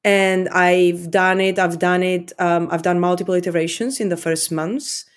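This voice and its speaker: A woman's voice: on the low side, fairly even intonation, moderate pace. A woman in her forties, from Romania, speaking English as a second language as an interview guest, thoughtful and repeating words with many fillers. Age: 40s. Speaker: company leader, guest. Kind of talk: interview conversation.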